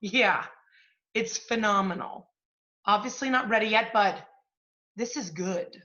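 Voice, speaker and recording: Woman to play loud-mouthed, effusive agent on stage; sharp, brassy delivery, slightly lo-fi recording. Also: medium pitch, a little slow. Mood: brash, effusive. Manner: brash, profane, effusive. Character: brash, foul-mouthed, gushing literary agent. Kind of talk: stage comedy-drama acting. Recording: dull, muffled stage recording.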